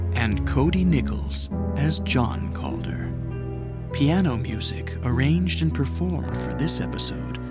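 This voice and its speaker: A man hosting an audio drama podcast, reading scripted closing credits in a measured, formal manner. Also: mid-range voice. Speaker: podcast host, editor and sound designer. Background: music.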